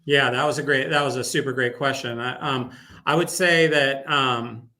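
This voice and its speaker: A man's voice: medium pitch, steady tone, normal speed, sharp tone. A man speaking casually as a guest in a sports radio interview, telling stories with emphatic repetition. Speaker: guest, sports public address announcer.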